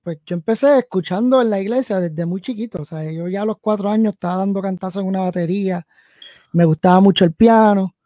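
A man's voice: high voice, fast, mellow, no room sound. A man in his late thirties from New Haven, Connecticut, chatting as a guest musician on a video call from his home studio, rambling and thinking aloud with many fillers. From New Haven, Connecticut, USA. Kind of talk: casual interview chat. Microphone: headset and video call.

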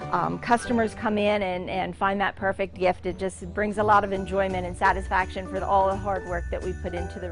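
A middle-aged woman, a shop owner, chatting enthusiastically as she gives a tour of her large indoor store, with frequent ums. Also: mid-range voice. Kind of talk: guided store tour interview.

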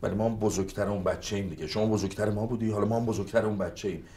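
An actor playing a harried father who shouts and grumbles at his family. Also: lowish voice, steady tone, far from the mic, natural voice. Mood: defensive. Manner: shouting, exasperated, then muttering under breath. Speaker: father of a runaway child. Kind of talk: TV family drama acting.

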